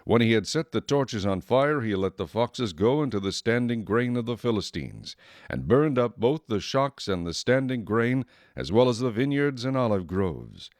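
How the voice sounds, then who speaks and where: A man's voice: mid-range voice, big pitch swings, normal speed, darkish tone, dry recording. A man narrating scripture as a scripted audio drama narrator.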